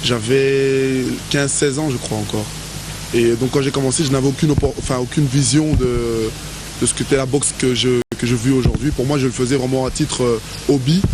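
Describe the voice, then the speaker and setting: A man's voice: mid-range voice, steady tone, fairly quick. Hesitant young male boxer, noisy recording. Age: late 20s. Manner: hesitant, restarting sentences. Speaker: boxer, interviewee. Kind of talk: field interview.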